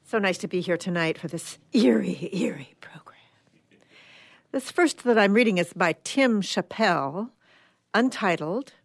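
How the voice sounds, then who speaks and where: A woman's voice: medium pitch, lively intonation, measured pace, gravelly, no echo, clean studio sound. A woman guest reader introducing and reading short stories aloud.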